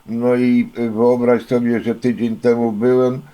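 A man's voice: mid-range voice, level tone, unhurried, mellow. An older man from Poland rambling casually and folksily as an amateur radio operator, often repeating himself with filler phrases. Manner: folksy, chatty, frequent filler phrases and repetitions. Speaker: amateur radio operator, hobby video maker.

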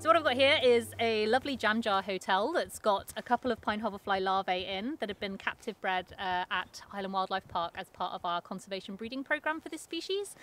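A woman outdoors in a pine forest, clearly explaining a conservation breeding project, on a lapel mic. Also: fairly high pitch, a bit bright. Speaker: zoo conservation worker. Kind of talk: informative explanation on location.

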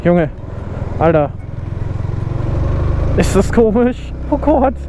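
A man's voice: sits high, very animated, takes it slowly. A young man in casual, slangy commentary as he rides a motorcycle through city traffic, muttering in exasperation. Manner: chatty, slangy, exasperated muttering while riding. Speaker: motovlogger. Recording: road and engine noise behind. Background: traffic and engine noise.

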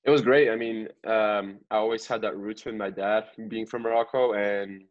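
Man in his twenties, low voice, flat intonation, from Belgium, casual interview answers, hedging phrases. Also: moderate pace. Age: 20s. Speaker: professional soccer player, podcast guest. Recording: echoey room, dull lo-fi sound.

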